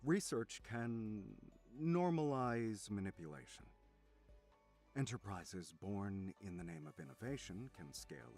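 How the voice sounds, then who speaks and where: A man playing a composed, severe lecturer in a visual novel, speaking with controlled, deliberate formal oratory. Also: sits low, darkish tone. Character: composed male lecturer, measured and severe about ethics.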